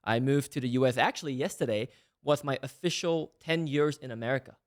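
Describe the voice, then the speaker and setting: A young man in his twenties, from Malaysia, talks through a casual interview at a quick clip. He keeps a steady mid-range voice and fills his talk with "like" and "right?" as he explains himself. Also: mid-range voice. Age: 20s. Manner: fast, eager talk with frequent "like" and "right?". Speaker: guest.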